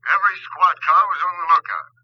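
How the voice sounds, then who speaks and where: An actor playing a plain-talking, obliging police inspector over a telephone in a 1940s radio drama, folksy and matter-of-fact. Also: male voice, a little high, expressive, fast, husky, dry recording, muffled recording.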